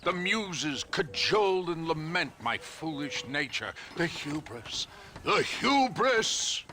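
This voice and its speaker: Forceful, melodramatic ruined showman. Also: on the high side, sing-song, takes it slowly, soft background music, heavily acted. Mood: anguished, melodramatic. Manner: melodramatic, declamatory. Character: ruined, grandiose, self-pitying theatrical film producer. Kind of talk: video game cutscene acting.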